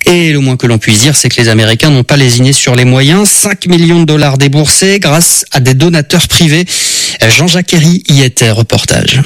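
A man's voice: medium pitch, a bit bright, dry recording. Male radio news presenter, dry studio sound.

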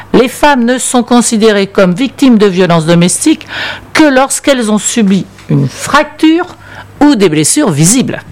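A woman's voice: medium pitch, darkish tone. A woman speaking on a radio discussion show, ironic and indignant, reading out excerpts.

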